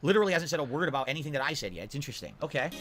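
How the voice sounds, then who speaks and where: Rapid, exasperated high-voiced older man. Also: very animated, fairly bright tone, faint music behind. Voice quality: high-pitched voice. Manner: incredulous, exasperated, rapid-fire rhetorical questions. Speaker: streamer being reacted to. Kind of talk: ranting stream commentary.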